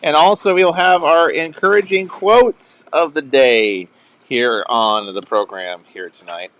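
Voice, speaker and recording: A man's voice: a little high, unhurried, fairly bright tone, dry recording, rough recording. A young man hosting a casual live music hangout stream, chatty and rambling, often chuckling and restarting sentences. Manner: rambling, chuckling, self-interrupting. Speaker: show host.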